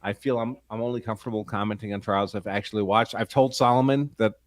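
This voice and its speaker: Measured, opinionated man in a dry-sounding space. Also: lowish voice, steady tone, dry recording. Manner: measured, opinionated, explains his reasoning carefully. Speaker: trial commentator and livestreamer. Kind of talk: casual live panel discussion.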